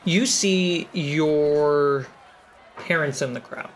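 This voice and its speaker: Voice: medium pitch, background noise. A game master who narrates a tabletop game scene and calls for dice rolls. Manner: slow, laughs often between lines.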